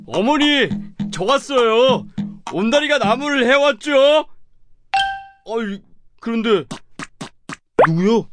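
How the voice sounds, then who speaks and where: A man playing a poor, simple young woodcutter in a children's folktale, earnest and excitable. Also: very high voice, takes it slowly, long pauses, over music, full character voice. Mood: cheerful, puzzled. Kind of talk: children's animated folktale acting.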